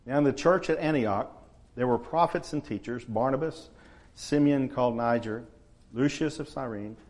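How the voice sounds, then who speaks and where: A man, preaching a sermon to his church in a relaxed, conversational way. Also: mid-range voice. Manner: conversational, unhurried, frequent uh fillers. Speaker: pastor.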